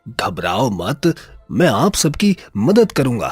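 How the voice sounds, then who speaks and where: A man voicing a stately, benevolent king in a cartoon moral story, measured, dignified and reassuring, with a husky voice. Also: medium pitch, wildly swooping pitch, no echo, faint music behind, pitched below the performer's own voice, heavily acted. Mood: calm, gracious.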